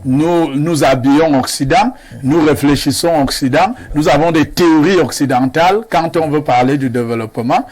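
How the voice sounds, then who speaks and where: A man from Cameroon, speaking as a guest on a televised panel debate in a measured, lecturing way. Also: fairly high pitch, a little mellow.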